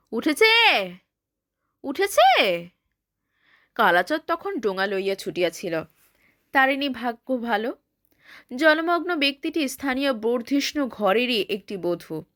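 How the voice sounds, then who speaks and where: Woman narrating a classic story, formal bookish reading, higher voice, dry close mic. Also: no echo. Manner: formal literary reading, expressive.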